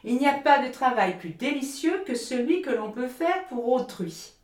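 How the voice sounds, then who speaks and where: Woman doing a bossy, sanctimonious miller man, loud, lecturing, storybook voice, roomy echo. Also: sits high, animated, normal speed, voice pushed up. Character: selfish, preachy grown male miller. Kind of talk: storybook reading.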